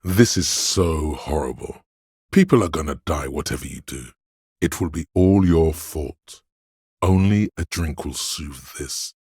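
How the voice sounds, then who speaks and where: A man voicing the hedonistic inner voice of addiction in a video game, a sleazy tempter in the brain with a gravelly, husky, goading drawl. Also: very deep voice, expressive, measured pace, a little mellow, no room sound, studio quality, full character voice. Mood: grim, goading.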